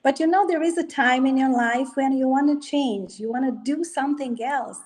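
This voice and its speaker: A woman speaks English as an in a fairly high voice. She talks hesitantly, searching for words with plenty of fillers, and laughs easily as an interview guest. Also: moderate pace, darkish tone. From Romania. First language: Romanian. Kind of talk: casual interview.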